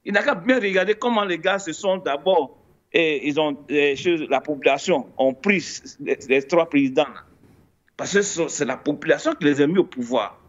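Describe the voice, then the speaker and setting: A man's voice: on the high side, moderate pace, highs cut off. A man commenting in a radio debate, talking in a loose, rambling way and addressing others familiarly. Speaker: panelist.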